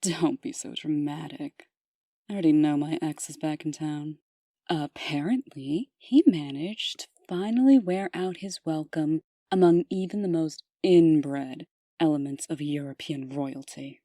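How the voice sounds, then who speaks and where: A woman's voice: low voice, unhurried, dark tone, dry recording. A woman playing a curt, sardonic deputy mayor in a comic dub, dry and dismissive. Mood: impatient.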